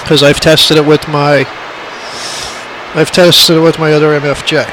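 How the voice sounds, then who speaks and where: Higher-voiced man, noisy hands-on tutorial. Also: little pitch movement, moderate pace. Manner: plainspoken, emphatic, repeats points. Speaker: radio hobbyist demonstrating equipment. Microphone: phone recording. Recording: clearly noisy amateur phone recording.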